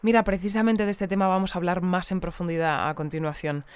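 An actress playing a composed, articulate radio news interviewer in an audio drama. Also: female voice, fairly low pitch, fairly even intonation, quick pace, clear, resonant tone, dry recording, lightly acted. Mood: courteous, inquiring. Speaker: radio presenter.

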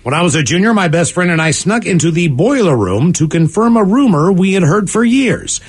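A husky-voiced man in his forties hosts a morning radio show and reads listener emails aloud. His voice sits fairly high and swings around a lot as he jokes. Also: brisk. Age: 40s. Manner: animated, jokey reading.

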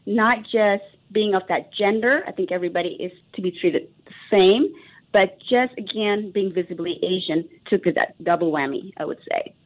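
A woman's voice: mid-range voice, normal speed. A woman raised in Texas, answering a radio interviewer in an earnest, reflective way with many fillers and restarts. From Indonesia, raised in Texas. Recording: tinny phone line.

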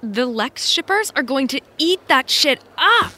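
An actress playing a brisk, teasing, bossy female production assistant, punchy and no-nonsense, in a naturalistic audio drama. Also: high voice, sing-song, pushed and bright, slight background noise, heavily acted.

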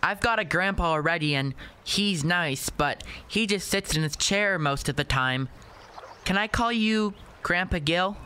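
Radio play kid: eager young boy, high, bright, blunt and proud. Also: unhurried, smooth. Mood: earnest, chatty.

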